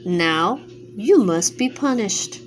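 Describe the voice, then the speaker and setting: A woman's voice: mid-range voice, animated, takes it slowly, low-quality recording. A woman voicing a stern spirit of wind, water and fire that scolds the chick.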